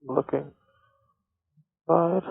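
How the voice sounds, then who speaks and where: A man's voice: a little high, extremely slow, creaky, husky, no room sound. A man, a student pilot in a small plane, making radio readbacks and talking himself through callouts on an aviation headset. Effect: aviation radio and headset intercom. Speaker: student pilot flying solo. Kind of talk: radio readbacks and self-talk callouts while flying. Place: small airplane cockpit. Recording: tinny, narrowband cockpit intercom audio.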